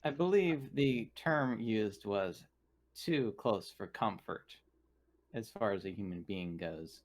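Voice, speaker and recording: A formal, curious android crew member, played by a man at a gaming session. The voice sits a little low. The phrasing is measured and articulate, polite and detached, as in a sci-fi roleplaying game. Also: lively intonation. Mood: detached, analytical.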